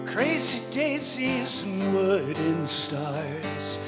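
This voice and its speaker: A woman's voice: medium pitch, big pitch swings, very slow, long reverb tail. A woman performing poetic lyrics live, partly sung. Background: plucked guitar music.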